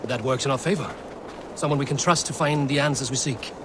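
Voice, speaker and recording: An actor playing a grave, steady Viking warrior on the hunt for a secret order in a video game cutscene. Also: male voice, medium pitch, brisk, noisy background, close to an everyday voice. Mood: resolute, calm.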